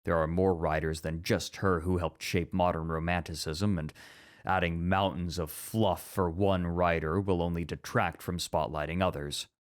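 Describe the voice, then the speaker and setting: A man playing a measured, traditionalist literature teacher in a scripted ASMR roleplay, speaking formally and with careful reasoning. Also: low-pitched, fairly even intonation, natural voice. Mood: scholarly, measured.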